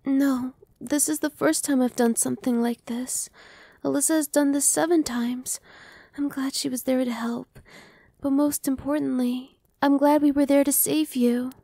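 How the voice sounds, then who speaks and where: A woman's voice: high-pitched, fairly even intonation, moderate pace, vocal fry, dry recording. A woman playing a sweet newborn vampire girl. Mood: reassuring. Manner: soft, reassuring, playful, drops to whispers. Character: cheerful young female vampire, newly turned, friendly. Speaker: voice actress. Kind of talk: ASMR roleplay.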